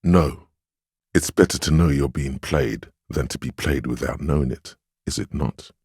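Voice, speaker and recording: Very deep, grave voice of willpower. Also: male voice, darkish tone, breathy edge, no room sound, clean studio sound, exaggerated voice. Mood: grave, cautioning. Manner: deliberate, grave, admonishing. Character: stern, weary inner voice of willpower, protective and wry. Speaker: video game voice actor. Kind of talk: dramatic second-person game narration and inner-voice dialogue.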